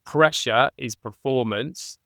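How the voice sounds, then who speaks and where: A man who played rugby, a podcast guest reflecting conversationally and thinking aloud with restarts, getting animated on key points. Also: medium pitch, slow pace, creaky, no echo, clean studio sound. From England.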